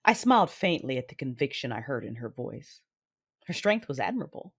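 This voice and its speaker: An actress playing a cruel, cold cyborg warrior mother in an audio drama, measured, menacing and commanding. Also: very deep voice, very animated, husky, full character voice. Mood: focused intensity. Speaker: narrator of own story in audio drama. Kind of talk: dramatic first-person narration and acting. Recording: music bed behind voice.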